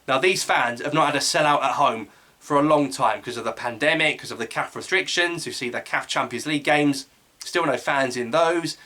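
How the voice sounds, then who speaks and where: Bright-voiced man previewing a match. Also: mid-range voice. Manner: eager fan talk, quick asides and self-corrections. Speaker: football channel host. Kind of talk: solo football match preview.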